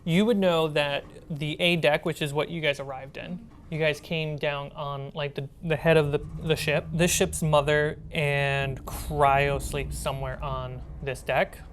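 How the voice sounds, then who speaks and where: A woman's voice: deep voice, steady tone, a little slow, a little hiss or hum. A woman running a tabletop game as game master, explaining and narrating in a casual, improvised way. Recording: loud music behind the voice. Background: loud background music.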